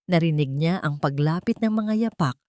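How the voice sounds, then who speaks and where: A woman's voice: low voice, animated, normal speed, warm tone, no echo. A woman with a Filipino accent reading a scripted romantic drama as a story narrator.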